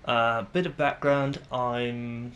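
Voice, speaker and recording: A man's voice: medium pitch, fairly even intonation, slow pace, a bit bright. A man in his twenties from the Manchester area of northern England, with a northern English accent, talking in a rambling, self-deprecating way to camera with many restarts. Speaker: vlogger. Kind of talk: casual monologue to camera.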